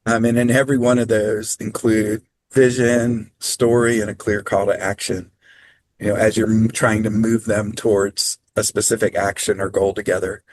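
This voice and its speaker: Low, dry-voiced man presenting online. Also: level tone, dry recording. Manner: measured, practical, with frequent ums. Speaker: training presenter. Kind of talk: online training talk. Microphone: video call.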